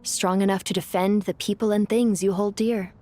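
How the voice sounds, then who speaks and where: A woman voicing a haughty, wise, ancient desert being in a video game, with formal, measured, imperious speech. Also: mid-range voice, steady tone, darkish tone, soft background music, close to an everyday voice. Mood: knowing, approving.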